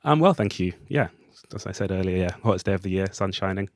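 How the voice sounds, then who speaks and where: A man talks casually as an interview guest. His voice is low, and he feels his way hesitantly through his phrases. The sound is dry and free of room echo. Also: expressive, fast. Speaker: podcast guest, playwright.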